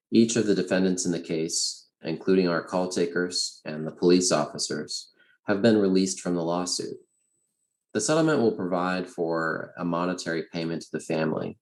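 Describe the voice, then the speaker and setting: A man delivering a prepared announcement to staff as an official. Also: sits low. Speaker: emergency center official.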